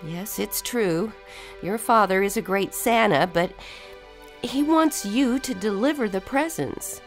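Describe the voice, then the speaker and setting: A woman playing a brisk, practical mother in a Christmas story. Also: mid-range voice, lively intonation, soft background music. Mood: concerned.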